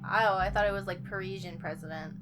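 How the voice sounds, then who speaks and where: A woman co-hosting a gaming video, bantering casually in an animated, teasing, giggly way. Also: medium pitch, a little fast. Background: game music.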